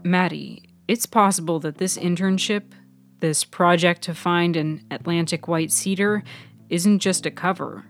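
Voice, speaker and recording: Woman reading as an earnest, measured intern. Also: fairly low pitch, soft background music. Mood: contained excitement. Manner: measured, deliberate, prompting. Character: earnest intern companion with contained excitement. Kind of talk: audio fiction character reading.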